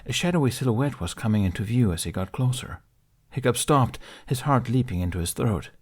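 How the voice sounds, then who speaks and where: A man reading a fan fiction aloud as an audiobook, in soft, measured storytelling. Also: mid-range voice, animated, husky. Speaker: podfic reader.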